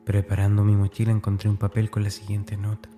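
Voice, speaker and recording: A man's voice: lowish voice, completely flat delivery, fast, over music, close to an everyday voice. An actor playing a tormented, fearful schoolboy, narrating his diary in a confiding, anguished, dramatic way. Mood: uneasy, confiding.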